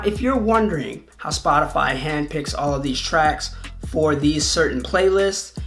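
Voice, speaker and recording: Conversational video host over music. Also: mid-range voice. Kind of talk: conversational video essay commentary.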